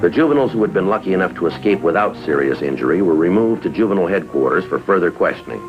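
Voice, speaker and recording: A man's voice: sits low, lively intonation, very fast. An American man playing a stoic, businesslike police detective in a 1950s drama, speaking in a clipped, matter-of-fact way. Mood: flat, official. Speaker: police detective lieutenant and narrator. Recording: dull vintage TV soundtrack, music behind.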